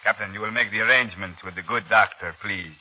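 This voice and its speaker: Muffled old radio show, very hard bright commanding army general, clipped and imperious. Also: male voice, on the low side, fast. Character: commanding, ruthless military general. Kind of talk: 1930s radio acting. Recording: dull vintage 1930s radio recording.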